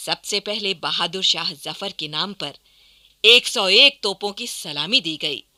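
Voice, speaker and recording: A woman's voice: medium pitch, slow, sharp tone. A woman narrating a historical radio play in an emphatic, formal storytelling manner from a script.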